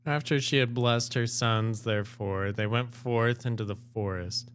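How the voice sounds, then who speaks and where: A man's voice: medium pitch, steady tone, a little slow, a bit bright, clear, resonant tone. A man reading fairy tales aloud as an amateur co-host, moving between storybook narration and casual chat.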